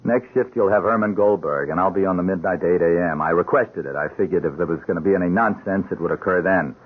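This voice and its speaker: A narrow, phone-like radio recording presents an easygoing, loyal cop. His deep voice is slightly husky, casual and reassuring. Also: fast talker. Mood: casual, confiding. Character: easygoing, loyal policeman friend of the sergeant. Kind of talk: radio drama acting. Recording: thin, phone-like old radio broadcast.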